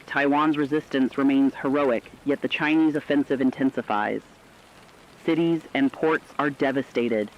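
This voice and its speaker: A man's voice: mid-range voice, close to an everyday voice. A man playing a radio war correspondent, delivering a scripted dramatic news report in a grave, formal, emphatic way. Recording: dull lo-fi radio sound, faint rain.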